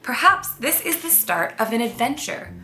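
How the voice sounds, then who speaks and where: Woman as a flamboyant crocodile plush, bright. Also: fairly high pitch, sing-song, normal speed, echoey room, heavily acted, rough recording. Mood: intrigued. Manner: flamboyant, sprinkles in French phrases. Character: suave adventurous male French crocodile plush toy.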